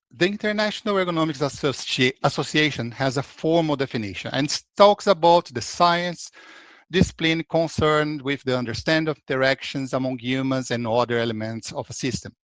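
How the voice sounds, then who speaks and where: A man's voice: on the high side, animated, moderate pace, a bit bright, no echo. A man in his forties from Brazil, speaking with a Brazilian accent as a consultant presenting a webinar in a conversational way, with frequent restarts.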